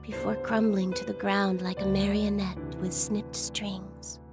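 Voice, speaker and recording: A woman giving grim, scripted narration for a game in a measured, ominous storytelling manner. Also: medium pitch, little pitch movement. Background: game music.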